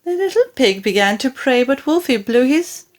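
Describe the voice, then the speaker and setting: A woman reading comic rhyming verse for children as scripted narration, with theatrical, deliberate phrasing. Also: high-pitched, lively intonation. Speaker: storybook reader.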